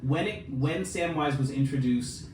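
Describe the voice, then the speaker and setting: A man co-hosting a podcast in casual, joking, animated conversation. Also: medium pitch, unhurried. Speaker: podcast co-host; film director and filmmaker. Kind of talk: casual chat. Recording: roomy echo, distant mic, noisy background.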